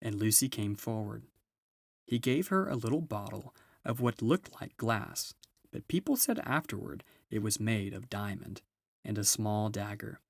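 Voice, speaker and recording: A man's voice: on the low side, lively intonation, a little slow, vocal fry, no echo. Man reading a storybook, dry close sound. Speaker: storybook reader. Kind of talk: scripted narration of a children's novel.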